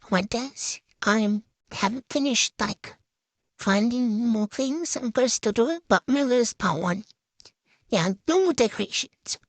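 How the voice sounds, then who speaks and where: A woman's voice: fairly high pitch, no room sound. A woman giving a casual, chatty show-and-tell voice-over in a hesitant, unscripted way that tends to trail off. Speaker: vlogger.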